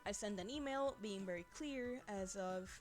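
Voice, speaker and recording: A young woman with Spanish as her first language hosts a casual livestream, her voice sitting at a middling pitch. She talks in a loose, rambling way and laughs often, close to a desk microphone. Soft music plays faintly underneath. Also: moderate pace. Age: young adult. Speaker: streamer.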